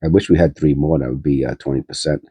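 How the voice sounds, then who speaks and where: Congested man with a very deep voice. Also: fairly even intonation, quick pace, mellow, breathy edge, no room sound. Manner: rambling, filler-heavy, thinking aloud. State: congested, nasal from a cold. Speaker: coin-hunting channel host. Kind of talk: casual coin roll hunting commentary.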